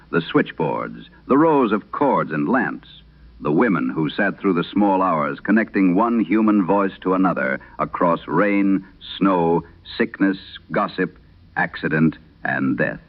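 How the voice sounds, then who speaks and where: A man hosting a late-night horror radio drama, narrating a script in a measured, solemn, literary manner. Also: deep voice. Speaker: host of a horror audio drama. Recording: muffled band-limited vintage radio sound.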